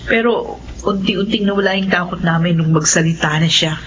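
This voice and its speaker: An actress playing an excitable mother in a radio horror drama, speaking with dramatic emphasis. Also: fairly low pitch, normal speed, close to an everyday voice. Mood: earnest. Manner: animated, emphatic. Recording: dull, noisy radio drama sound.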